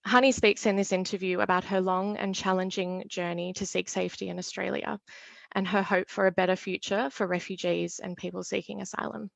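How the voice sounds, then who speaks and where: Creaky-voiced woman hosting an event. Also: mid-range voice, level tone, brisk, no echo. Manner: formal, read with "um" and "uh" fillers. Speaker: event host, solicitor. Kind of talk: scripted event introduction.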